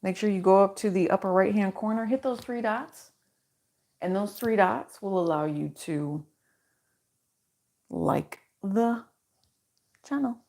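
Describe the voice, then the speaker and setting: A woman with a low voice hosting a casual livestream card reading into a microphone, chatty and reassuring as she speaks in affirmations. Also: unhurried, gravelly, pauses often, mellow. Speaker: tarot reader and channel host.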